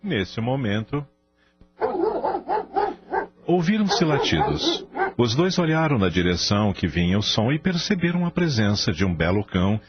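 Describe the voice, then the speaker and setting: Deep-ish guy narrating a radio drama, very animated, slightly muffled, soft music behind. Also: husky. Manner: expressive storytelling. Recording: dull lo-fi audio, faint music.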